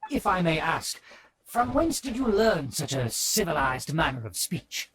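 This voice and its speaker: A man's voice: on the high side, sing-song, unhurried, a bit bright, slight background noise. A man voicing a pompous, haughty aristocrat with formal, archaic speech in a video game. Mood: condescending approval. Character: pompous, haughty aristocratic nobleman, easily flattered. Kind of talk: scripted video game character acting.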